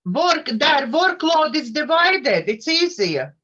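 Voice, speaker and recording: A woman with a Latvian accent hosts a live tarot stream in a high, bright voice. She speaks very slowly, choosing her words with careful, non-native phrasing. The stream audio is dull and lo-fi. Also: very animated. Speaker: host of the tarot channel. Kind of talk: casual live-stream chat.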